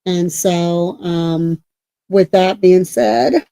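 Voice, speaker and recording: A middle-aged woman with a mellow, warm tone who talks loudly and keeps talking, her voice projecting well. She rambles through restarts as she co-hosts a casual paranormal chat show. The sound is dry and close, with little room around it. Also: on the low side, drawn-out words, gravelly, no room sound. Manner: self-described talker, loud and projecting. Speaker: co-host of a paranormal talk show.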